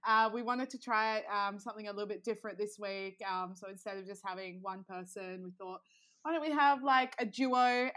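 This young woman hosts a relaxed podcast chat in a medium voice. She talks in an upbeat, chatty way, filling pauses with ums and likes. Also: mid-range voice. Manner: chatty, upbeat, lots of fillers. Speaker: podcast host.

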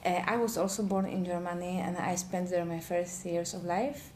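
A woman from Poland, a guest telling her story in a relaxed interview, often pausing with fillers. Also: fairly low pitch, slight background noise. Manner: hesitant, many filler pauses. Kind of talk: casual interview chat.

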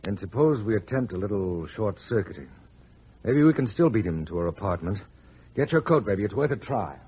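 A hard-boiled detective hero from 1940s radio, low and very husky. He is cool and commanding, with clipped slang and a wry edge. The old broadcast recording is muffled. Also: lively intonation, brisk. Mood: calm, decisive.

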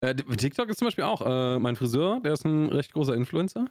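A man's voice: mid-range voice, big pitch swings, fast, a little mellow, dry recording. A young man from Augsburg with a Swabian accent, co-hosting a podcast in a loose, rambling chat full of fillers and restarts. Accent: Swabian German. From Augsburg, Swabia, Germany. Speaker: podcast co-host. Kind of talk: casual chat.

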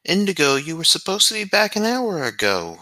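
A man's voice: high-pitched, very animated, fairly quick, sharp tone, no room sound, heavily acted. A man playing a middle-aged house mother of a children's home in an audio drama. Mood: mildly scolding.

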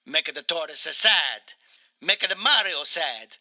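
A man's voice: a little high, expressive, slow, sharp tone, full character voice. A man voicing an aggrieved, self-pitying Italian video game plumber in broken English with a mock Italian accent, in an improvised comedy interview. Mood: mournful, self-pitying. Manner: broken English, whining indignation.

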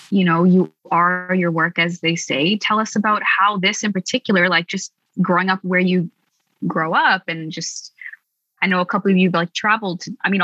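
A young Canadian woman hosting a casual chat, asking questions in a warm, encouraging way. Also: fairly low pitch, husky, no room sound, muffled recording. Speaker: host.

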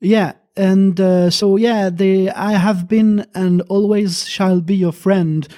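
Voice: medium pitch, steady tone, slow, dark tone. A podcast host asking questions in a casual, stumbling way with many fillers. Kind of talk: casual chat.

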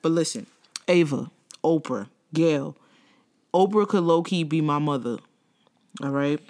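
A woman in her thirties co-hosting a casual gossip chat, blunt and profane, launching into animated rants. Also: deep voice, a little slow. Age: 30s. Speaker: podcast co-host.

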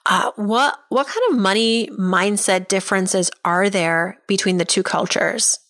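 A woman's voice: medium pitch, unhurried, fairly bright tone, dry recording, clean studio sound. A woman talking as a podcast host in a conversational interview style.

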